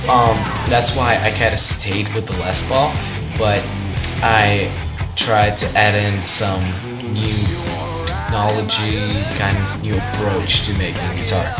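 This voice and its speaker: Man with a Russian accent, hesitant and full of fillers, walking through a guitar build; echoey room, loud music under him. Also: on the low side, fairly even intonation, slow. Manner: hesitant, many fillers and restarts. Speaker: guitar design contest entrant. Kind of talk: casual explanation of a guitar design.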